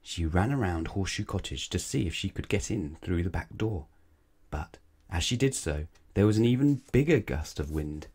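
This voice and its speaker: A man's voice: low-pitched, lively intonation, moderate pace, darkish tone, slightly rough. A man reading a children's bedtime story aloud, dramatically, stretching and stressing words. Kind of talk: expressive read-aloud bedtime narration.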